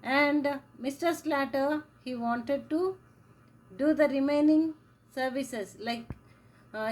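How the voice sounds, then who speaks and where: Slow, high-voiced woman teacher. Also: darkish tone, rough recording. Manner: slow, repetitive explaining, repeats phrases for emphasis. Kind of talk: classroom lecture.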